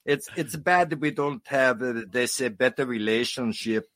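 A man's voice: medium pitch, moderate pace, a bit bright, no echo, natural voice. A man playing a boisterous retired Soviet major with a Russian accent, swaggering and joking in broken English as a comic radio host. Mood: proud, genial. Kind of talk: comic in-character radio interview.

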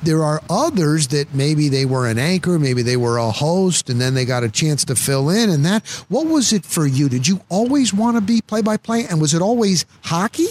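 A man's voice: on the high side, animated, normal speed, hardly any pauses, a little mellow, a little hiss or hum. An American man chatting casually as an interview host. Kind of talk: casual chat.

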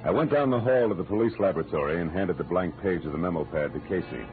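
Dogged gumshoe in vintage radio crime drama: very low American voice, wry and terse, dull archival sound with prominent music. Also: male voice, animated, fast. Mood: wry narration. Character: hard-boiled male private detective, wry and dogged. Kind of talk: 1940s radio drama acting with first-person narration. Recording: muffled old-time radio recording with music.

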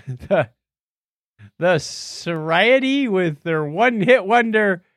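A man co-hosting a podcast in casual, joking banter, playful and quick with quips and asides. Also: sits high, lively intonation, no echo, studio quality. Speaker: podcast co-host.

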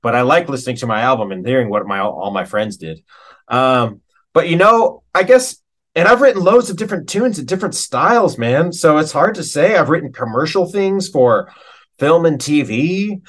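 A middle-aged American man chatting casually as an interview guest, telling stories in an animated, colloquial way. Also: on the high side, normal speed.

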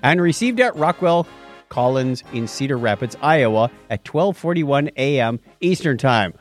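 A man's voice: mid-range voice, expressive, moderate pace, faint music behind. A man co-hosting a podcast, chatting casually and reading out items in a jokey, bantering way. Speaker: podcast co-host. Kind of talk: casual chat with listener feedback and trivia.

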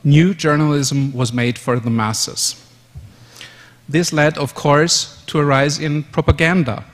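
A man in his fifties from Austria whose first language is German, giving a measured conference presentation in English with fillers and self-corrections. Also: a little high, fairly even intonation. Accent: German-speaking Austrian. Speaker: conference speaker. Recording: long hall reverb.

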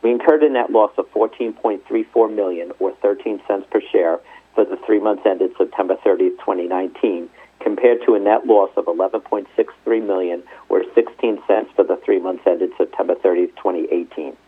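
A man's voice: sits low, brisk, breathy edge. A man formally reading out a scripted financial report as a company executive, going through figures methodically. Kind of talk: scripted earnings report read aloud. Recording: muffled narrow phone conference line.

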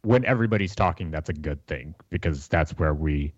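A man talking as a podcast guest in a casual chat, reflective and measured. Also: a bass voice, lively intonation, no echo. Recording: muffled, lo-fi audio.

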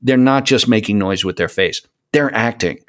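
A man's voice: lowish voice, normal speed. A man in a casual podcast conversation, speaking emphatically and repeating phrases to stress his point. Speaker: podcast guest, hobby voice actor.

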